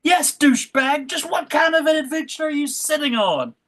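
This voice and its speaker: A woman's voice: high-pitched, lively intonation, moderate pace, fairly bright tone, voice pushed up. A woman playing a hearty, effusive aristocrat in a comedy sketch, with a jovial and grandly theatrical manner.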